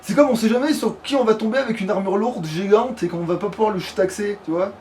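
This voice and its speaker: A man's voice: high voice, fast talker, a little mellow. A man giving casual let's play commentary in a crude, slangy way, swearing freely. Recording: clearly noisy home recording.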